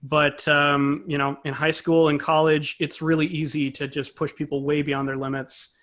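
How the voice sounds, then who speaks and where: A man's voice: fairly high pitch, little pitch movement, moderate pace, a bit bright. A man speaking as an interview guest, a composer and conductor explaining things conversationally in a thoughtful, self-correcting way.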